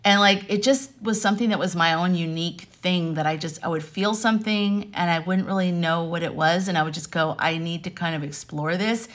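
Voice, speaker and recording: An American woman with a fairly low-pitched voice talks casually to camera at home. Her delivery is animated and conversational, wandering through personal stories with side notes along the way. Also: fairly quick, few pauses. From Arizona, United States. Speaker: vlogger and life coach. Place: home room with wooden beams, indoors.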